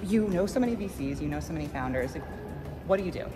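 A bubbly woman in her thirties hosts a walking interview and talks at a rapid clip in a fairly low voice. She films herself on a phone in a big indoor lobby, with music playing underneath. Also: animated. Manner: bubbly, upbeat, fast-talking. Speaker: host. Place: large indoor bank lobby. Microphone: phone held selfie-style. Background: background music.

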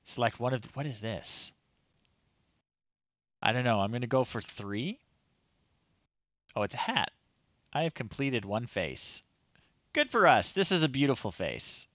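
A man's voice: mid-range voice, very animated, a little slow, pauses often, fairly bright tone, no echo. A man in his thirties casually commentating a game on a live stream, reading screen text aloud with amused, playful asides. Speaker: streamer, host of a retro game show. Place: small indoor room at home. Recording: slightly dull, dry close-up recording.

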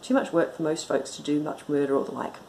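A woman's voice: sits low, fast talker, dark tone, a little hiss or hum. A woman reading a storybook as a laid-back, folksy male rural police sergeant.

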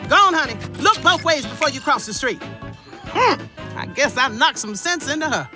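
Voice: high-pitched, animated, normal speed. An actor playing a sassy, bossy yet doting cartoon grandma with folksy scolding and pet names. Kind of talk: animated cartoon voice acting. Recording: loud music behind the voice.

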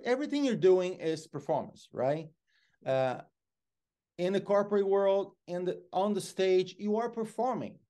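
Animated Brazilian man on a video call. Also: a little high. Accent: Brazilian Portuguese. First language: Portuguese. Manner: expressive, gestures a lot, lively storyteller. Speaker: guest, motivational speaker. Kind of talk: animated interview storytelling. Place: home office with bookshelves.

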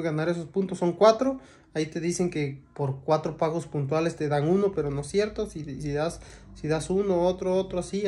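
A man's voice: high-pitched, a little mellow. A young man with a Mexican accent, talking casually to camera with many fillers and restarts. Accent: Mexican Spanish. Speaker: vlogger. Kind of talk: casual vlog talk to camera.

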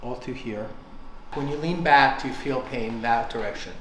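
An older man working as a chiropractor, calmly guiding patients through adjustments with upbeat coaching. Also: medium pitch, normal speed. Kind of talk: hands-on treatment talk. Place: bright clinic room. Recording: echoey room with clear background noise.

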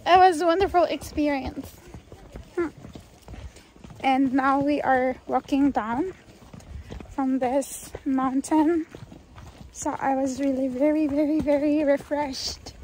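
A woman's voice: high voice, steady tone, a little mellow, a little hiss or hum, poor audio. A cheerful woman in her twenties with a Filipino accent, narrating a casual vlog outdoors on a mountain trail into a phone held at arm's length. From Philippines. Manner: cheerful, unhurried, chatty with friends. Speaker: vlogger.

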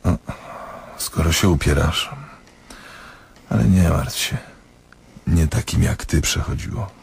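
A man's voice: very deep voice, slow, creaky, a little mellow, slightly rough, full character voice. An actor in a radio play voicing a cool, knowing male doctor, wry and dismissive in a matter-of-fact way. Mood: wry, detached.

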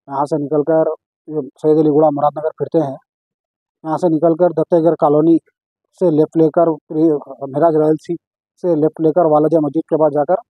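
A middle-aged man, giving a formal, halting statement into news microphones as a local event organiser. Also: fairly high pitch, no echo, dull audio. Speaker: organiser of a local religious procession. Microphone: handheld news microphones.

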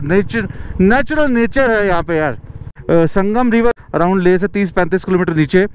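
A young man casually narrating a travel vlog outdoors while riding a motorbike, chatty and excited. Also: high voice, a little hiss or hum, poor audio. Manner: chatty and excited, keeps saying "yaar". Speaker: travel vlogger. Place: outdoors, riding a motorbike through a mountain valley.

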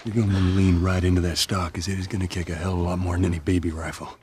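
An actor playing a gruff, guarded middle-aged survivor in a video game, with a Southern US accent and a terse, commanding manner. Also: male voice, low-pitched, level tone, quick pace, background noise, close to an everyday voice. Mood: steady, instructive. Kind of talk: video game cutscene acting.

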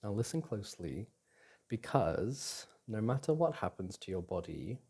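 A man plays a devoted boyfriend in an intimate audio roleplay. His low voice is soft, husky and mellow. He talks tenderly and reassuringly, as if to a partner close by. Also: sing-song, a little slow, exaggerated voice. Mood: playful affection.

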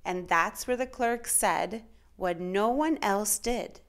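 A woman reading a children's picture book aloud in a dramatic, measured, expressive way. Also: medium pitch. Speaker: children's storytime reader.

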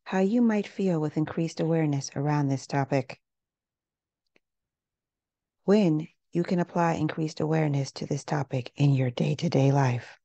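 A woman leading a guided meditation in a gentle, unhurried, measured way, leaving pauses between phrases. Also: sits low, gravelly, dry recording. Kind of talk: guided meditation, scripted narration.

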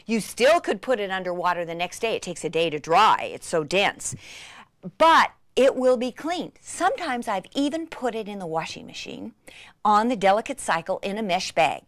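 Excitable woman crafter with swooping intonation. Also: mid-range voice, moderate pace, no echo, clean studio sound. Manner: excitable, gushing, dramatic emphasis. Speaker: craft instructor and presenter. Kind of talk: chatty craft tutorial demonstration.

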